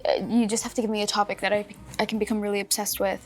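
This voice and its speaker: A young woman chatting casually in a quick question game, telling stories with lots of fillers like "like.". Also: mid-range voice, little pitch movement. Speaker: actress, interview guest. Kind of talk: casual rapid-fire chat.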